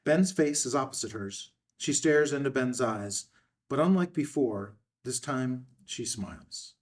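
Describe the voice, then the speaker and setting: A man's voice: medium pitch, lively intonation, unhurried. Distant-miked man narrating a screenplay. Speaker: narrator reading stage directions. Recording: distant microphone.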